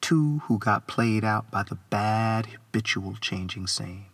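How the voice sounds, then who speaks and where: A man with a husky, gravelly voice reads a literary essay like a spoken-word poet, phrasing deliberately and rhythmically and leaning into the wordplay. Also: on the low side. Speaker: poet reading own essay.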